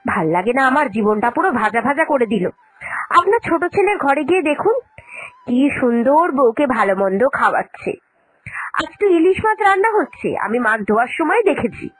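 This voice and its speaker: A woman's voice: sits high, very animated, moderate pace, rough recording. A woman voicing a discontented young daughter-in-law in a cartoon, whining and complaining. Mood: aggrieved. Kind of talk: cartoon voice acting.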